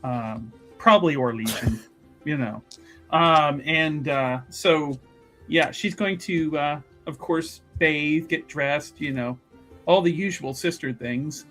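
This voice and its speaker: A man playing a tabletop roleplaying game, improvising his character's actions in a halting, wordy way. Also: on the high side, bright, forceful tone, faint music behind.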